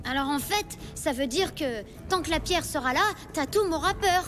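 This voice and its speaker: A caring, plucky cartoon child speaks with eager, childlike energy. The voice is very high-pitched, over a clear music track. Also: female voice, lively intonation, normal speed, a bit bright. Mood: curious. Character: plucky, caring young child in prehistoric village. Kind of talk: French animated cartoon acting. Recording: music behind the dialogue.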